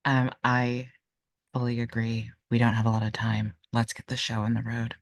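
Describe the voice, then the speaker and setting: A man's voice: mid-range voice, level tone, moderate pace, no echo, studio quality. A man playing a tabletop roleplaying game, casually describing what his character does.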